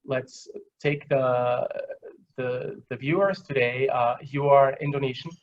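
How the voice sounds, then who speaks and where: A man with an Austrian accent giving a webinar lecture, explaining with simple examples in a halting, conversational way. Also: mid-range voice, steady tone. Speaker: guest lecturer. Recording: dull, lo-fi online call audio.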